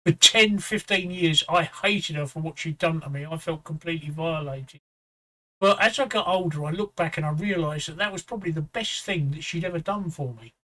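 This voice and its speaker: Bright-toned man in his fifties chatting. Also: fairly high pitch, poor audio. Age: 50s. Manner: blunt, swearing, rambling storytelling. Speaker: streamer host. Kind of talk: casual chat.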